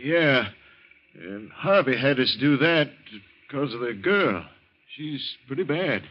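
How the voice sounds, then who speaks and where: A man's voice: mid-range voice, lively intonation, takes it slowly. An American actor playing a folksy, worried, helpful country man in an old-time radio drama, plain-spoken. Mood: subdued. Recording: muffled, noisy vintage radio recording.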